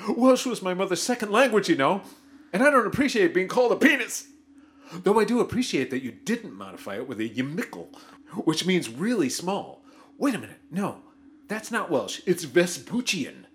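A man's voice: high voice, very animated, normal speed. An actor playing a greedy, self-important starship crewman in a sci-fi parody audio drama, whiny and melodramatic. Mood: indignant.

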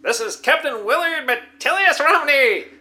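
A man's voice: very high-pitched, lively intonation, moderate pace, noisy background. A man voicing a pompous, self-important male starship captain in a comedy audio drama. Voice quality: pompous, repeats his own full title.

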